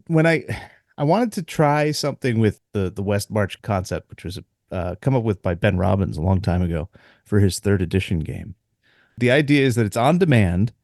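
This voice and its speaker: A man's voice: mid-range voice, darkish tone, no room sound, clean studio sound. Chuckling, rambling man. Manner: laughing, rambling with restarts. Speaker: dungeon master and podcast co-host. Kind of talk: casual podcast chat.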